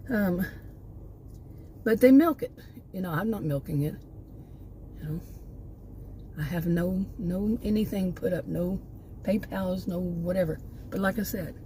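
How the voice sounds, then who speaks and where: A woman of sixty-one speaks in a low, mellow voice gone a little hoarse, since she is losing it. She rambles through her own monologue, breaking off to restart sentences and hunt for her next point. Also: slight background noise. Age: 60s. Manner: rambling, often stops to restart and lose her thread. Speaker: channel host.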